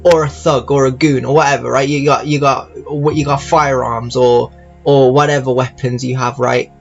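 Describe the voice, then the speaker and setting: A man giving casual, rambling game commentary like an audio log, halting and often circling back to repeat his phrases. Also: mid-range voice, level tone, gravelly, dull audio, soft background music. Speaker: YouTuber reviewing games.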